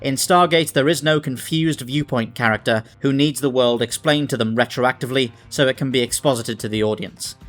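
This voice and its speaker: A man's voice: medium pitch, fairly quick, few pauses, fairly bright tone. A man hosting a scripted video essay, speaking in an articulate, analytical way. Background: background music.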